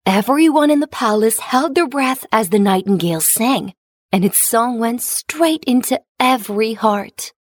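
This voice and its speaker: A woman's voice: a little high, expressive, a little slow, no echo. A woman narrator reading a children's fairy tale as scripted storybook narration.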